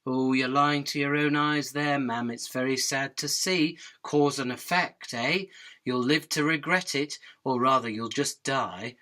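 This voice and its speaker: A man playing a cheeky, fast-talking fly in a radio drama, pleading and rambling, deferential but impudent. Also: medium pitch, sharp tone. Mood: ominous, teasing. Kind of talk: illustrated radio drama acting.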